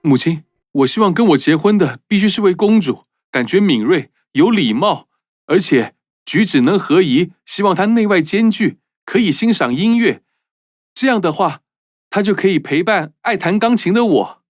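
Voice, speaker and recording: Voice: mid-range voice, expressive, normal speed, no echo, studio quality, natural voice. A performer voicing a kind, earnest young prince in a cartoon, speaking politely and firmly. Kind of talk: cartoon dubbing.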